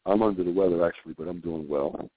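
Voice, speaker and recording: A hoarse man calling in to a radio show, talking in an earnest, rambling way with many fillers. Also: deep voice, quick pace, a little hiss or hum. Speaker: caller. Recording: dry, tinny phone line.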